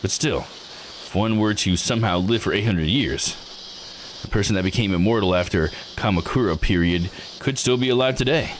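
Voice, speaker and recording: A man voicing a genteel, thoughtful character from a visual novel, speaking in a measured and formal way. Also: lowish voice, a little hiss or hum, pitched below the performer's own voice, lightly acted. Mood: musing.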